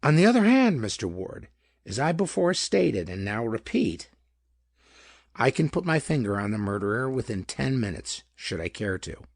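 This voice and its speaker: A man's voice: mid-range voice, very animated, normal speed, vocal fry, dry recording, studio quality. A man voicing a chubby, superior male detective in a pulp audiobook, with formal, pedantic, emphatic phrasing. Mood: confident.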